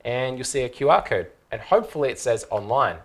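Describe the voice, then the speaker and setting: A man in his thirties with a mid-pitched voice presents a tutorial. He explains each step informally, like a casual instructor, and checks in with the listener as he goes. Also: expressive, fairly quick.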